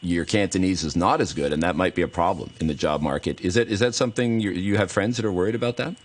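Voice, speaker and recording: Lower-voiced male radio host. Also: fast. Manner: friendly, encouraging, conversational. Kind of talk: radio talk show.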